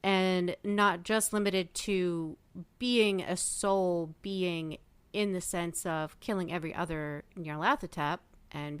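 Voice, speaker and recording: A woman's voice: fairly low pitch, a bit bright, smooth, no echo. A woman chatting casually and emphatically as a panelist in a fan discussion podcast.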